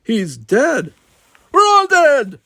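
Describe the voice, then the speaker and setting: A man's voice: extremely high pitch, huge pitch swings, very slow, sharp tone, no echo, studio quality, voice pushed up, heavily acted. A man voicing a terrified young male cadet who sobs and cries out in panic in an audiobook. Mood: hysterical. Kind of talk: audiobook reading.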